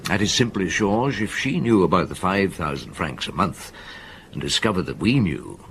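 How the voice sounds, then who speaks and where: An actor playing a seasoned, thoughtful police detective in a radio drama, measured and reflective as he recounts a case. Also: low-pitched, animated, fairly bright tone, slight background noise.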